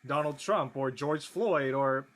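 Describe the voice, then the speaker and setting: A man chatting casually in a panel, halting and playful as he talks. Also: mid-range voice, fairly bright tone.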